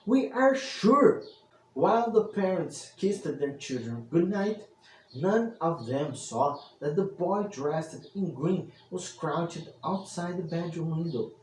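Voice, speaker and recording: A man's voice: fairly high pitch, lively intonation, slow, a little mellow. A man narrating a scripted tale as an old-fashioned storyteller, with theatrical, grandiose delivery. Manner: theatrical, grandiose greetings and sign-off. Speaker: storyteller, channel host. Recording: roomy, distant mic with faint noise.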